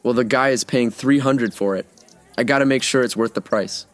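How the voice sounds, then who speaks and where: A man's voice: medium pitch, moderate pace, lightly acted. A man playing a laid-back joking college guy. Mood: casual. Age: 20s. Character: easygoing young male art student. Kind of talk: audio drama acting.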